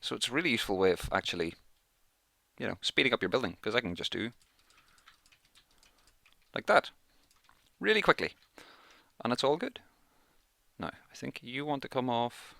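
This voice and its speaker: A man's voice: mid-range voice, very animated, moderate pace, vocal fry, pauses often, pushed and bright, dry recording. A man giving casual let's play commentary, chatting and thinking aloud as he plays, close on the microphone in a small room. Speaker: gaming YouTuber, let's play host.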